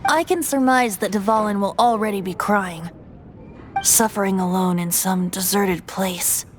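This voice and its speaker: A woman's voice: medium pitch, a little slow, natural voice. A woman voicing a playful, whimsical young male bard with a theatrical, teasing lilt, in an anime video game. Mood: sorrowful. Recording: music behind the voice.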